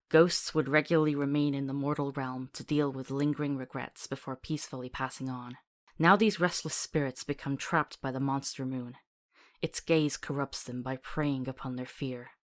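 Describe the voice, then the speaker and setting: A woman's voice: a bass voice, steady tone, moderate pace. An actress playing a sardonic, practical woman who travels as a companion in a fantasy visual novel, with a wry manner. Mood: solemn reading.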